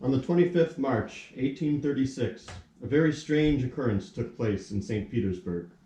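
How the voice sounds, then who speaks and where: A man's voice: medium pitch, animated, normal speed, dark tone. A man narrating a scripted story for a puppet play, recorded at a distance in a roomy space.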